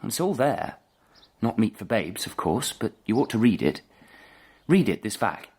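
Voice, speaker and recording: An actor with an English accent playing an intense, petulant upper-class student in a period radio drama, clipped and impatient. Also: male voice, lowish voice, big pitch swings, a little slow, darkish tone. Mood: indignant, superior.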